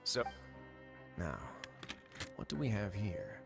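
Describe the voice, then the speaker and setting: An actor playing a composed, wry duke who runs a prison fortress in a video game, speaking in a measured, formal, dryly teasing way. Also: male voice, fairly low pitch, sing-song, gravelly, exaggerated voice. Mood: calm, wry. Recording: background music under the voice.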